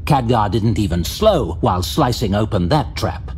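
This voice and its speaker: A man giving scripted fantasy audio drama narration, measured and dramatic, recorded as a studio voice-over. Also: on the low side, animated, a little mellow. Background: faint music.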